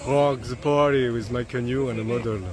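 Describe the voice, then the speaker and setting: A man's voice: mid-range voice, fairly even intonation, brisk, a little mellow. A man hosting a casual vlog outdoors at a beach, upbeat and repeating a catchphrase. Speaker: vlog host. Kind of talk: casual promo chatter.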